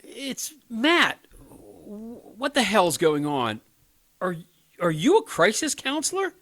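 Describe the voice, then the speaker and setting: An actor playing an earnest, inexperienced young crisis counselor in a radio play, hesitant and pleading. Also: male voice, high voice, wildly swooping pitch, takes it slowly, fairly bright tone, heavily acted. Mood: shocked, indignant. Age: 20s.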